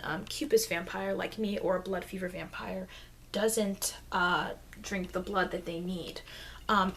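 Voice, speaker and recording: Friendly vampire lady acted by a woman for ASMR roleplay: soft, hesitant, rambling, mid-pitched, faintly noisy home mic. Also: close to an everyday voice. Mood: earnest, reminiscing. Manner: hesitant, rambling, soft-spoken with frequent murmured asides. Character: friendly, rambling female vampire explaining vampire illnesses. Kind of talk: ASMR roleplay, soft-spoken improvised explaining. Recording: noisy low-grade mic with clicks.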